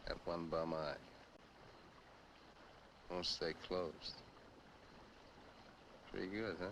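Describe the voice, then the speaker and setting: An actor playing a menacing young American killer who talks in curt, threatening commands, in 1950s film noir style. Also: male voice, deep voice, fairly even intonation, extremely slow, heavily acted. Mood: taunting. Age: 20s. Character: menacing, taunting young male killer holding captives at gunpoint. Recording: noisy old film soundtrack.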